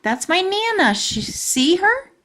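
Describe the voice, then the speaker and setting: A woman's voice: very high voice, sing-song, takes it slowly, a bit bright, pitched above the performer's own voice, exaggerated voice. Woman as a pushy, boastful little schoolgirl. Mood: proud. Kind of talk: children's storybook character reading.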